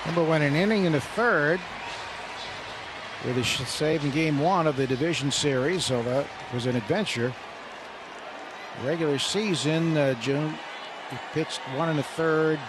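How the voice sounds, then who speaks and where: A man's voice: a little high, a little slow, long pauses, crowd noise, background noise. A man giving analysis and statistics as a color commentator on a live baseball radio broadcast. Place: stadium broadcast booth. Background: loud ballpark ambience.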